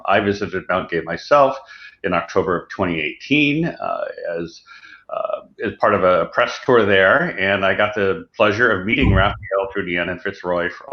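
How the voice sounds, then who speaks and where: A man hosting a live online presentation in a conversational way over a video call. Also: lowish voice. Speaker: host of an online rum presentation series. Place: indoor room, on a video call. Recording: dull, roomy video-call audio.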